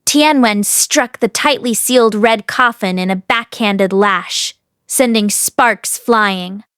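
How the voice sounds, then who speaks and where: A woman's voice: mid-range voice, slow, fairly bright tone, clean tone, no room sound, studio quality. A woman reading a story aloud expressively, as an audiobook narrator. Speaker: audiobook reader.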